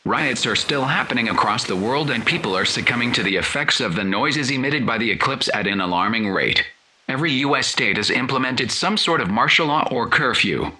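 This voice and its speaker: A man acts as a serious TV news anchor with a very bright, forceful edge to his medium-pitched voice. He reads a scripted special report formally, keeping it matter-of-fact.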